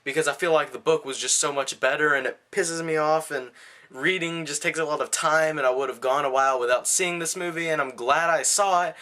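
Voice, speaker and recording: Bright-voiced man, casual movie reviewer. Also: a little high, fairly even intonation, fairly quick. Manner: blunt, slangy, conversational.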